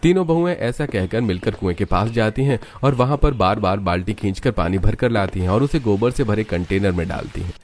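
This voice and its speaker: A man's voice: fairly low pitch, animated, fairly quick, few pauses, darkish tone, slight background noise. A man narrating a scripted cartoon story as its storyteller.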